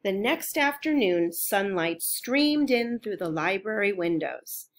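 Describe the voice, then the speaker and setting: A woman reads a children's picture book aloud at a slow, unhurried pace. Her pitch sits in the middle range for a woman. She tells the story carefully and expressively, as for young listeners. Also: lively intonation. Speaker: storybook reader.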